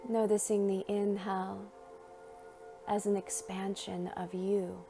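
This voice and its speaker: Woman, guided meditation narration, very slow pace, soft mellow tone, soothing, long pauses, faint music behind. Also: mid-range voice, flat delivery, gravelly.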